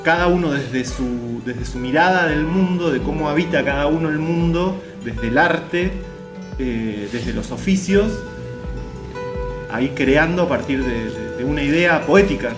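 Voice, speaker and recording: A man's voice: a little high, lively intonation, measured pace. A man in reflective conversation about creative work, repeating words as he searches for the next one. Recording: roomy echo with music behind. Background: guitar music.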